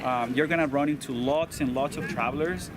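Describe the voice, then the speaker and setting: A man's voice: mid-range voice, fairly quick. A man from Venezuela with a Venezuelan Spanish accent, talking in a hesitant, casual way as an interview guest. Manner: hesitant, many fillers and restarts. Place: outdoors at an overland rally. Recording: outdoor recording with clear background noise.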